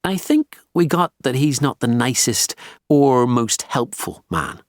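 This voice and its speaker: A man's voice: mid-range voice, lively intonation, measured pace, no room sound, clean studio sound, voice pushed up, lightly acted. A man voicing a female detective constable with a Belfast accent in an audiobook, earnest and frank.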